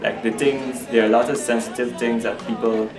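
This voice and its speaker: A man's voice: medium pitch, little pitch movement, a little fast. Young man from Guyana, rambling and laughing, echoey distant recording, music behind. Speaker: student interviewee. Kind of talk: casual street interview.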